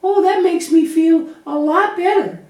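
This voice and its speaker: A woman's voice: extremely high pitch, unhurried, darkish tone. A woman voicing an anxious, childlike little lamb puppet in a children's church show, naive, earnest and chatty. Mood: relieved, earnest. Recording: echoey room, distant microphone.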